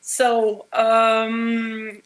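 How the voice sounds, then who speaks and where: A woman's voice: a little high, monotone, extremely slow, clean tone. A middle-aged woman, not a native English speaker, giving a keynote conference presentation with many hesitations and fillers. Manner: hesitant, many ums and uhs. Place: conference hall.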